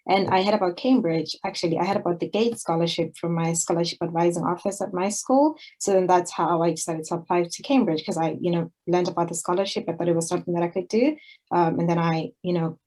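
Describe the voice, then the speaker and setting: A young woman from Zimbabwe speaks on an online panel, brisk and friendly. She talks quickly in a fairly low voice. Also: a little mellow. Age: young adult. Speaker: panelist, doctoral student. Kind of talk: panel introduction and answers. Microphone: video call.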